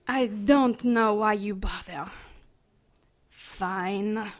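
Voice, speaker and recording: A woman's voice: medium pitch, drawn-out words. A woman playing a cynical, callous nun in an audio drama, with a blunt, sarcastic and grumbling manner. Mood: sardonic.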